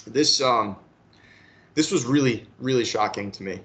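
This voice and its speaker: A man's voice: mid-range voice, unhurried, a bit bright. A man in his thirties giving an earnest, prepared talk on a webinar panel, often restarting his phrases as he speaks. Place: webinar call.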